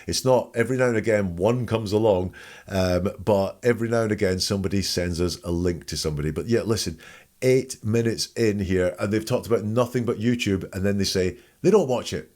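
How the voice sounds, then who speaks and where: A man's voice: fairly low pitch, fairly quick, a little mellow. A man giving informal, blunt and opinionated commentary as he hosts an analysis of a recording. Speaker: host analysing a recorded call.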